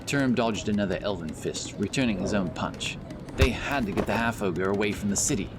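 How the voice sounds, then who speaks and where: A man's voice: lowish voice, a little fast, very noisy. A man reading scripted fantasy narration as an audiobook narrator, with background music behind him. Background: background music and effects.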